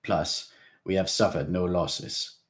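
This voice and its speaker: Older vampire, read by a man, grave, measured, deep. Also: steady tone. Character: old calm male vampire, grave and steady.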